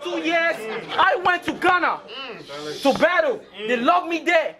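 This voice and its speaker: A man's voice: extremely high pitch, measured pace, a crowd in the background. A man performing battle rap verses in a boastful, taunting way. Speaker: battle rapper.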